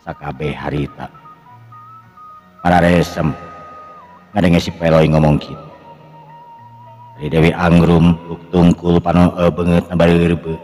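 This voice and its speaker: A man's voice: sits low, little pitch movement, unhurried, long pauses, darkish tone. A man, hosting and telling a traditional folk story to his listeners. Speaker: storyteller and channel host. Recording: long echoing reverb, music behind. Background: music.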